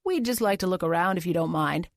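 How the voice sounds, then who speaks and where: A woman's voice: lowish voice, lively intonation, quick pace, no room sound, clean studio sound. A woman voicing a polite but firm, wry male police officer in an audiobook. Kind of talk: audiobook character dialogue.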